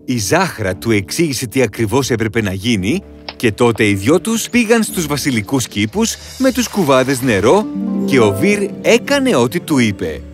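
Very expressive male storybook narrator. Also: over music. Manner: dramatic, highly expressive storytelling.

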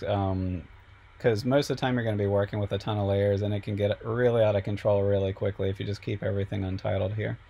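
Male instructor, a little sick and hoarse, gravelly low voice, relaxed step-by-step tutorial voice-over. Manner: relaxed, step-by-step, frequent ums. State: a little sick, losing his voice. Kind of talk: screen-recorded software tutorial.

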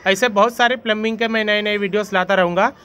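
A man's voice: sits high, fairly even intonation, fast, pushed and bright, clear, resonant tone. A young man hosting a plumbing channel, explaining a pipe fitting in a friendly voice-over and often calling viewers his friends. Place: voice-over over animation.

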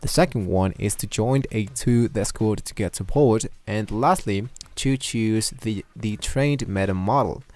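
Male tutorial host, somewhat low voice, casual and meandering, at a desk mic. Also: measured pace, hardly any pauses, a little mellow. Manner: loose, rambling, many fillers. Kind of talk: screen-recorded software tutorial. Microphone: desktop microphone at a computer.

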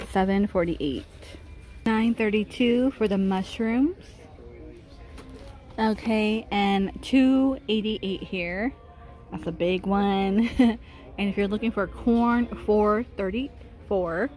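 A woman's voice: medium pitch, a little mellow. A woman in her thirties, chatting casually as she walks a large warehouse store and reads out prices, filming on a handheld phone. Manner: chatty, reading out prices, small laughs. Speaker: shopping vlogger. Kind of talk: casual shop-with-me narration. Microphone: handheld phone camera, first-person view.

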